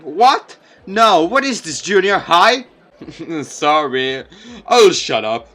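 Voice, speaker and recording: A man voicing a teasing, jokey male teacher in a visual novel, with a smug, playful, loud manner. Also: fairly high pitch, sing-song, slow, heavily acted. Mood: mocking.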